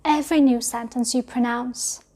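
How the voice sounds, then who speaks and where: Casting: high-pitched woman, non-native English teacher with an acquired British accent, measured teaching delivery. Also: steady tone, dark tone. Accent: learned British English. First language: not English. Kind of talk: scripted teaching talk to camera.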